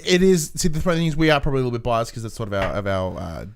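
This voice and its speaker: A man with a clear, smooth voice and mid pitch hosts a casual film podcast. He moves between reading news items and offering blunt opinions, and he is happy to swear. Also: very animated, very fast. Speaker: podcast host.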